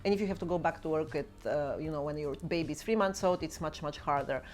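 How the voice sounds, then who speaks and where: A woman in her thirties whose first language is Bulgarian talks casually to her viewers in a Q&A vlog. Her voice sits a little low. She reads out each question and then answers it at length. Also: fast talker, a little mellow. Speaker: parenting vlogger.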